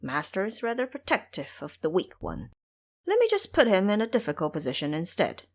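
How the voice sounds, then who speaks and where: A woman's voice: mid-range voice, huge pitch swings, brisk. A woman voicing a cocky, scheming male monkey warrior in an audio drama, boastful and theatrical.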